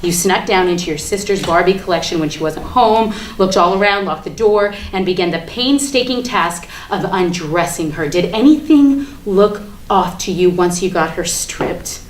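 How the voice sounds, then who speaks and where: A woman's voice: fairly low pitch, moderate pace, few pauses, natural voice. A woman performing a theatrical monologue as a defiant, ranting everywoman, sardonic and emphatic in her delivery. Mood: taunting, confiding. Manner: sardonic, emphatic, swinging from hushed confiding to outraged ranting. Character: ranting, defiant everywoman gleefully confessing she killed Barbie. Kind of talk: theatrical monologue at a staged reading. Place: live staged reading in a room. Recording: echoey room with background noise.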